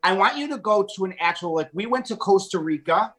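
A woman from the US talks in a casual opinion chat. She is emphatic and blunt, and her language is salty. Her pitch sits in the middle of the range. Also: expressive, a little fast. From United States. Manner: emphatic, blunt, swears freely.

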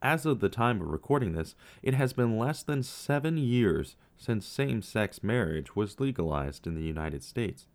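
A man narrating a scripted true-crime podcast as its host, with measured storytelling and dramatic pauses. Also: on the low side, animated.

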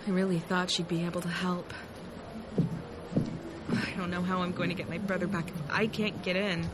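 A woman's voice: on the low side, flat delivery, normal speed, very noisy, natural voice. An actress playing an earnest, anxious young alien woman in a science fiction audio drama, with a hesitant manner. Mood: downcast. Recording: loud music under the voice.

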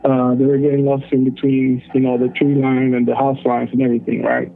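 A man's voice: mid-range voice, monotone, vocal fry, a little hiss or hum. Man on a tinny phone line. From El Salvador. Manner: halting, many fillers. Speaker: caller. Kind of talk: telling a personal story on a call-in show.

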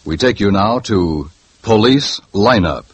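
A man's voice: on the low side, animated, a little slow, heavy background noise. A man delivering a grave, dramatic announcer introduction for an old-time radio crime show.

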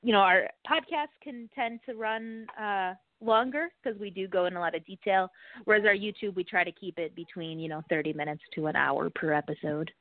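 A woman's voice: medium pitch, fairly quick, no echo. A middle-aged woman podcast co-host chatting casually as a guest in an interview. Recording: dry, muffled band-limited call audio.

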